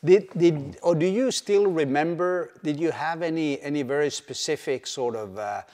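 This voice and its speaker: A male interview host asking gentle questions with stammered starts, seated in a winery barrel room. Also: a little high, normal speed. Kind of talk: conversational interview. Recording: long hall reverb.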